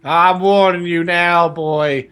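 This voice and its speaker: A woman voicing a large, threatening uncle in a comic storybook reading, slowly and deliberately. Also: fairly low pitch, steady tone, fairly bright tone, smooth. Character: stern, threatening uncle, a large grown man.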